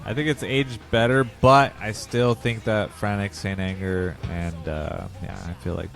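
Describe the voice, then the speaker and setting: Chill young dude co-hosting, kinda low voice, easygoing, faint music behind. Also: male voice, steady tone, measured pace, gravelly. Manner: laid-back, says "dude" and "man". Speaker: podcast co-host. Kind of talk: casual chat reviewing an album.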